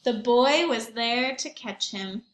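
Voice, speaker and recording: A woman teacher reading aloud and talking to young children in an expressive storytelling manner, with questions and asides. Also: a little high, slow pace, reverberant. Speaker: primary school teacher reading aloud to pupils.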